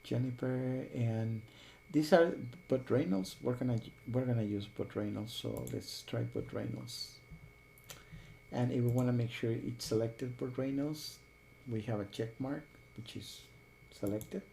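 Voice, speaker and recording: Gravelly man walking through a tutorial. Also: mid-range voice, measured pace, mellow, slight background noise. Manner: explains step by step, thinking aloud. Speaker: software tutorial host. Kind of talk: screen-recorded tutorial.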